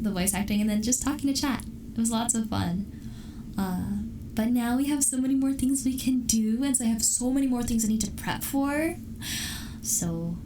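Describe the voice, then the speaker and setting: A woman's voice: fairly high pitch, darkish tone. A young woman streamer chatting casually with her viewers, giggling often and restarting her sentences as she rambles. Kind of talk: casual chat with live chat. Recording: distant mic with clear background noise.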